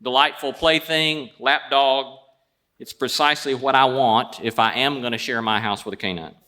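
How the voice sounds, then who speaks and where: A man hosting a show, telling prepared stories and introductions in a wry, deliberate way. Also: mid-range voice, fairly even intonation, sharp tone. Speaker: show host introducing a guest. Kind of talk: prepared storytelling introduction before an audience.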